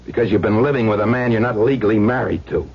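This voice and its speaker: Casting: a menacing street-tough extortionist, low swooping delivery, slangy taunts, radio play on a muffled recording. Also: male voice, fast, breathy edge. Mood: taunting, threatening. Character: crude blackmailing husband back from presumed death, slangy and menacing. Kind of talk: old-time radio drama acting. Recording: dull lo-fi radio recording, faint hum.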